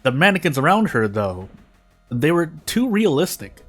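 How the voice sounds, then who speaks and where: A man reading a story aloud in deliberate phrases with short pauses. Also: a little high, very animated. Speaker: fan fiction reader on a video channel. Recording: music behind the voice.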